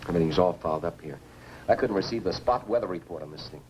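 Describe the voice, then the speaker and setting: A man's voice: sits low, fast, natural voice. Man playing a practical 1950s radio operator, faintly noisy. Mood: frustrated. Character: practical, frustrated male radio operator at a space station. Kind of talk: 1950s science fiction film acting. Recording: noisy old film soundtrack.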